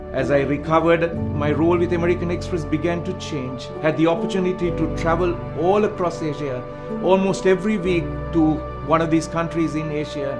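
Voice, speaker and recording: Middle-aged Sri man, earnest testimony voice-over, slightly high, loud guitar bed. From Sri Lanka. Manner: earnest, measured storytelling. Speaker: missionary speaker. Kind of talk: scripted testimony narration over music.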